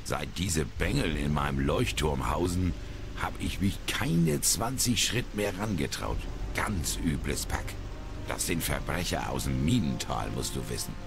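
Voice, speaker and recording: Grumbly old sea dog for a game, really deep voice, swings up and down, music behind. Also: male voice, full character voice. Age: older. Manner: folksy, grumbling seaman's talk. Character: grumbling old retired sailor and lighthouse keeper. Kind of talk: video game character dialogue. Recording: music under the voice.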